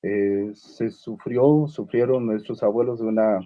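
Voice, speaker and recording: A man from Guatemala whose first language is Uspanteko, speaking on a literary panel with many pauses, fillers and restarts. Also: on the low side, fairly even intonation, no echo, lo-fi sound. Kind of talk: unscripted panel talk.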